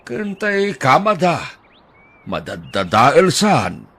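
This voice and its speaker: A man's voice: mid-range voice, wildly swooping pitch, slow, fairly bright tone, slightly rough. A man acting in an Ilocano audio drama, talking in an affectionate, fatherly way.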